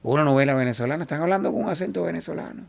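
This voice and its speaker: Gravelly Cuban-accented man telling stories. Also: fairly high pitch, very fast. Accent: Cuban Spanish. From Cuba. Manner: animated, quotes others in lively asides. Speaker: salsa singer and guest. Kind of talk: casual interview storytelling.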